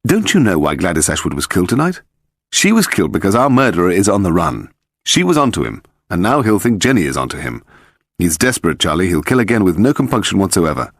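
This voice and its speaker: A man's voice: low-pitched, sing-song, quick pace, dry recording, studio quality, heavily acted. A man voicing a composed, authoritative male detective who reasons aloud with assurance in an audiobook mystery. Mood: urgent, assured.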